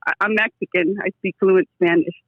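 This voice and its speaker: A woman's voice: medium pitch, steady tone, a little fast. A woman calling in to a comedy podcast, chatting casually in a rambling, joking way over muffled call-in audio. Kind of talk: casual chat. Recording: dull, band-limited call-in audio.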